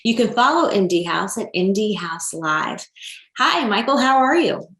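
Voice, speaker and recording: A woman's voice: mid-range voice, sing-song, normal speed, a bit bright. Woman podcast host in an echoey room. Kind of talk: podcast introduction and interview questions. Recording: roomy, echoing sound.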